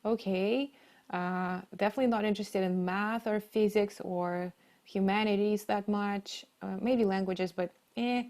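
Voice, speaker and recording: A woman's voice: mid-range voice, fairly even intonation, a little slow, darkish tone. A woman from Siberia with a Russian accent, answering as an interview guest in a conversational style. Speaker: interview guest, marketing leader and coach.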